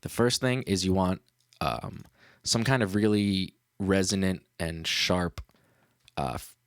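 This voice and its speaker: A man's voice: deep voice, takes it slowly, dry recording. A man hosting a casual livestream tutorial as a music producer, talking in a laid-back, slangy way into a studio microphone.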